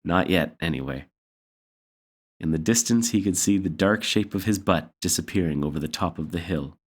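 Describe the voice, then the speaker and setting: A man with a low-pitched voice reads a children's story aloud. He delivers it as scripted storybook narration. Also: a little fast, slightly rough. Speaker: story reader.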